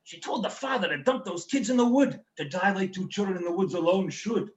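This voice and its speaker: A man acts out a bumbling German storyteller, eager and a little tipsy, for a comic stage show. The voice is high and lively, stammering apologetically, with room echo around it. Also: a little fast, lo-fi sound, very noisy. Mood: storytelling. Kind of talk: comedic stage patter and rhymed storytelling. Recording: roomy, echoey home recording.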